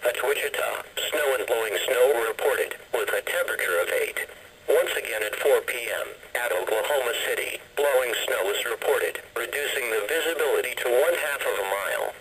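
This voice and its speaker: A man's voice: mid-range voice, animated, normal speed, a bit bright. A man reading a scripted weather bulletin as a radio announcer, in a formal, stilted automated delivery. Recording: noisy weather radio broadcast.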